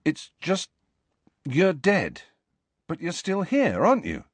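A man's voice: on the high side, expressive, a little slow, fairly bright tone, no room sound, pitched above the performer's own voice, full character voice. A man voicing an urgent, stubborn teenage boy wizard in an audiobook, insistent and full of emphatic questions. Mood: awkward. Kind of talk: audiobook character voice.

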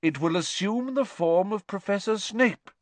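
A man voicing a kindly, cheerful male professor in a gently theatrical, encouraging manner. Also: high voice, lively intonation, unhurried, no room sound, voice pushed up. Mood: cheerful teacherly. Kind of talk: audiobook character voice.

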